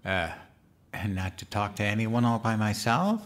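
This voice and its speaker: An actor playing a childlike, eager, guileless president-elect in a comedic audio drama. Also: male voice, fairly low pitch, very animated, normal speed. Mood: naive, eager. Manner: guileless, simple-minded, earnest talk.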